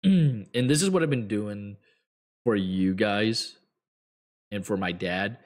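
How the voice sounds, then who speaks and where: A man hosting a trading show, giving blunt, rambling advice to viewers with many fillers, his voice getting hoarse. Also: on the low side, slow. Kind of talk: casual advice talk to viewers.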